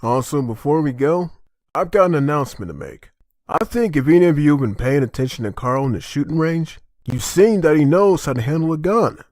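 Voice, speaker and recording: A man's voice: on the high side, expressive, brisk, a little mellow, no echo, clean studio sound, lightly acted. A man playing an earnest, protective father who leads survivors in an amateur comic dub. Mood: firm, announcing.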